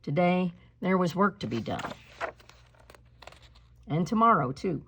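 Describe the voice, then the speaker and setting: A woman reading a storybook aloud like a teacher, with deliberate, expressive phrasing and instructive delivery. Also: lowish voice, creaky, slight background noise. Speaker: teacher reading a picture book aloud to pupils.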